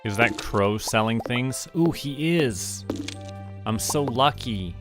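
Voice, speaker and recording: A man from Canada streaming a farming game, talking to himself in a relaxed, muttering way as he plays. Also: medium pitch, takes it slowly. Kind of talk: casual gameplay commentary. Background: game music.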